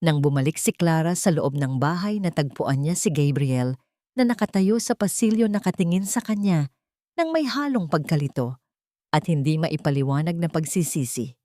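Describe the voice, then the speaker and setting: Woman storyteller, lowish voice, highly varied intonation, emotive scripted narration, measured delivery. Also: animated, warm tone, no room sound, studio quality.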